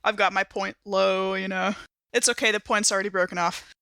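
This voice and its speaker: A woman's voice: medium pitch, flat delivery, a little fast, bright, forceful tone, no echo. A woman chatting casually as a player in a tabletop role-playing game, describing what her character does. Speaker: player in an actual play podcast.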